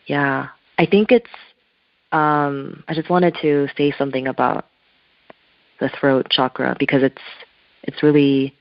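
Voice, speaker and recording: Woman, native Korean speaker, slow unhurried pace, searching reflective manner, dry sound, dull phone-line audio. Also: extremely low pitch, no echo. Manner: searching, thoughtful, loose phrasing. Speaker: interview guest, spiritual artist. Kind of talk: reflective telephone interview talk. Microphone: telephone. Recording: muffled telephone line.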